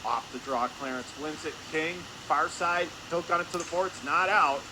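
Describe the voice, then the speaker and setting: A man doing radio play-by-play of a hockey game in clipped, rapid-fire phrases. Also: on the high side. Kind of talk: radio sports play-by-play commentary. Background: noisy indoor ice rink.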